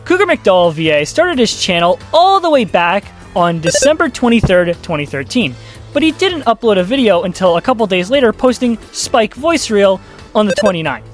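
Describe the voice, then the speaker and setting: A man with a fairly high voice talks through casual video commentary. He rambles sarcastically and swears freely, with faint music running behind him. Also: very animated, normal speed. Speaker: YouTube commentator.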